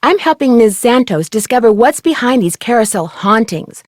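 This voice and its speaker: A young female amateur sleuth for a detective video game. Her voice sits on the high side and sounds very dry, free of room sound. She is courteous and conversational, yet persistent and inquisitive. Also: lively intonation, moderate pace, no room sound. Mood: friendly, inquisitive. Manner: polite, inquisitive, clearly enunciated questioning. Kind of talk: video game dialogue, conversational question delivery.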